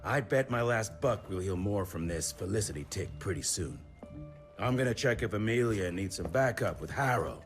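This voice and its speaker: A man plays a low-voiced, seasoned frontier agent in a game cutscene. He talks bluntly in folksy, salty phrases while music plays behind him. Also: animated, moderate pace, natural voice. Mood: concerned, resolute. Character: rough, plain-spoken, seasoned American frontier agent. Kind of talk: video game cutscene acting.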